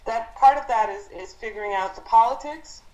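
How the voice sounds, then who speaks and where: Halting woman advising on a roomy conference call. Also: a little high, a little mellow. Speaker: instructor leading a team conference call. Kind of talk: explanatory business advising.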